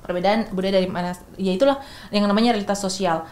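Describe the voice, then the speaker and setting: A woman's voice: mid-range voice, steady tone, fast, darkish tone. Young woman from explaining. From Makassar, Indonesia. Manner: thoughtful, many restarts and fillers. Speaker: guest speaker. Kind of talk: explanatory podcast talk.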